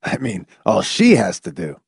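Audiobook sidekick male reader in character: chummy beer lover, easygoing, sly, animated delivery. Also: lowish voice, moderate pace, creaky, breathy edge, no room sound, studio quality, full character voice. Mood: crafty, jovial. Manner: crafty, chummy. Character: crafty, easygoing beer-loving man, chummy.

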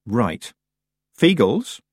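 A man's voice: mid-range voice, big pitch swings, drawn-out words, breathy edge, dry recording, clean studio sound, full character voice. A man voicing a sensible, bossy young witch girl who speaks bluntly and questioningly in an audiobook. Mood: stern, exasperated.

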